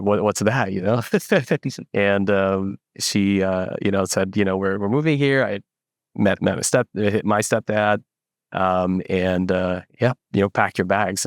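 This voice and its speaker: A young man from the Philippines, a startup founder, telling his story in a relaxed interview with lots of fillers and restarts. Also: deep voice, no room sound, clean studio sound. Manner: reflective, many fillers and restarts. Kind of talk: casual interview.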